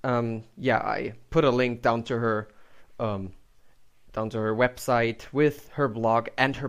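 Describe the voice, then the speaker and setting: A man hosts an informal interview podcast. His voice sits at a medium pitch, and he addresses listeners and guest in an upbeat, conversational way. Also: smooth.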